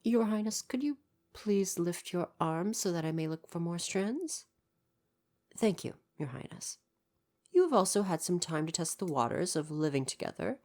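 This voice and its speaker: A woman's voice: deep voice, a little mellow. A woman playing a devoted royal butler in an ASMR roleplay, speaking in a formal, deferential and precise way with parental care.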